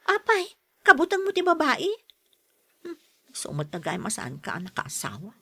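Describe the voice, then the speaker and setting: A woman's voice: high voice, wildly swooping pitch, normal speed, vocal fry, bright, forceful tone, full character voice. A woman acting in a Filipino radio drama as a teasing, insistent younger sister who coaxes her brother. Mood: coaxing, insistent. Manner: coaxing, teasing. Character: patient's younger sister, bright, teasing, insistent office worker.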